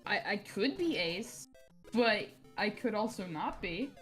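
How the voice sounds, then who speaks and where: A woman's voice: mid-range voice, big pitch swings. Hesitant young woman, faint music behind. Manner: hesitant, halting, self-deprecating. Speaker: livestream guest. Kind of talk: casual chat.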